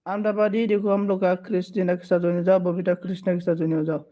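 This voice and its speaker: Guy doing a live stream, high voice, kinda flat, thanks everyone over and over. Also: fast. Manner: switches between Bodo, Hindi and English phrases; repetitive thanks and requests for support. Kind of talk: casual live stream chat with viewers.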